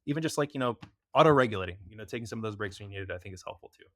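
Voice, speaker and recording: Fast-talking young American man, dry mic. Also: fairly low pitch, animated, a bit bright, no room sound. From United States. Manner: fast, loose talk with many fillers. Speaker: podcast guest, preventative health expert. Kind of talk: casual interview chat.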